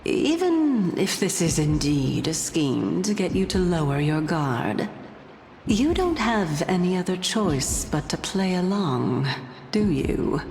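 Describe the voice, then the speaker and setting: A woman's voice: fairly low pitch, a little mellow, a little hiss or hum, exaggerated voice. A woman playing a coy, condescending lady sage in a video game, speaking in a theatrical, teasing way. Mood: teasing, persuasive. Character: teasing, enigmatic adult woman sage, leader of seven. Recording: long hall-like echo, music underneath. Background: game music.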